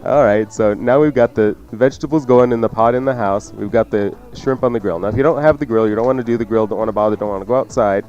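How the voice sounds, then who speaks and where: A man's voice: lowish voice, quick pace, few pauses, darkish tone, muffled recording, faint music behind. A man hosting a cooking show with casual, joking, teasing instructions.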